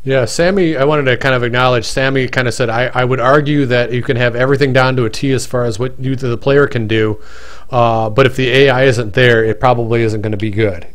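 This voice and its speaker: Affable mid-pitched male co-host. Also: steady tone, a little fast. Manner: affable, agreeable, stammering restarts. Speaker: co-host on a livestream. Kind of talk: casual stream chat.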